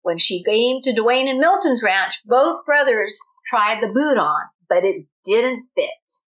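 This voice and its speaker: A woman reading a children's storybook aloud in an expressive manner, as a teacher does for young children. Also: on the high side, slow pace, low-quality recording.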